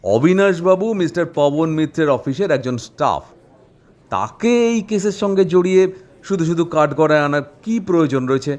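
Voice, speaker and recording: Male actor as opposing prosecutor, audio courtroom drama, highish pitch, very animated, indignant, challenging. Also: a little fast, natural voice. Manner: forceful, challenging. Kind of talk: Bengali audio-story courtroom acting.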